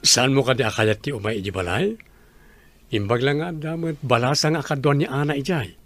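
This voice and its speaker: A man's voice: mid-range voice, fairly quick, fairly bright tone, no room sound, soft background music, lightly acted. A man with an Ilocano accent playing a kind, respectful younger suitor and family friend in a radio drama. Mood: kindly, coaxing.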